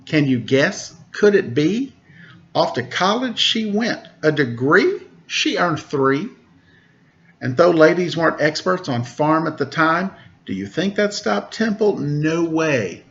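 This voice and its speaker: A man, a teacher, reading a rhyming picture book aloud to children in a lively way and asking them questions. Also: a little high, takes it slowly, highs cut off. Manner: lively rhyming delivery with rising questions to listeners. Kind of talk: storybook read-aloud to children.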